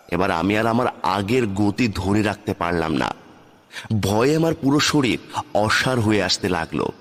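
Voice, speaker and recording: A man's voice: lowish voice, a bit bright. A man narrating a horror story in the first person, in a dramatic scripted style. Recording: long reverb on the voice.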